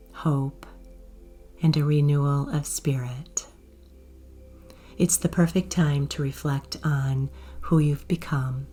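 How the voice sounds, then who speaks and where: A woman guiding a meditation in a soft, gentle, unhurried way, with breathy phrase endings. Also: extremely low pitch. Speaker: yoga nidra guide. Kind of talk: guided meditation narration. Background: soft music.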